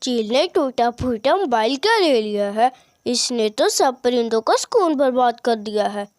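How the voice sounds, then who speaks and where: A stubborn, scornful cartoon bird acted by a woman with a high voice. She is rude, sneering and dismissive, in a dry recording. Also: animated, a little slow, dry recording. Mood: grumbling.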